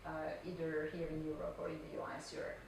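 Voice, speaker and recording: Woman, hesitant, polite, far from mic, echo, clear background noise. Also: low-pitched, monotone, quick pace. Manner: hesitant, polite, many fillers. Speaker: interviewer. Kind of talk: interview questions. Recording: echoey, distant from mic, noisy.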